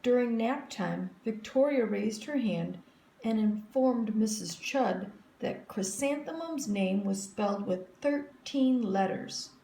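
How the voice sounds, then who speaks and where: A woman narrating a children's story expressively, in a deliberate and emphatic way. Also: on the high side, expressive, gravelly, dark tone. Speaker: storybook reader. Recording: roomy echo, faint background noise.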